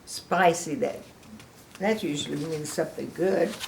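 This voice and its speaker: An older woman reading a picture book aloud to children, expressive, with chatty asides to the listeners. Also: low-pitched, measured pace, gravelly. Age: older, a grandmother.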